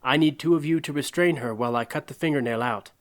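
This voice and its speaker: A man's voice: medium pitch, fast, lightly acted. A man playing a kindly, devout old doctor in an audio drama, speaking in an earnest, courteous way. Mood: concerned, gentle.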